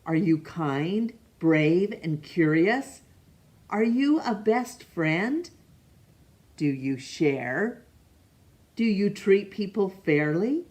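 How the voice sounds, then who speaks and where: A woman reading a picture book aloud to children, stopping to ask the listener questions and now and then rereading a name. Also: medium pitch. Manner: slow, gentle, asks the listener questions; stumbles over names and rereads them. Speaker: storytime reader.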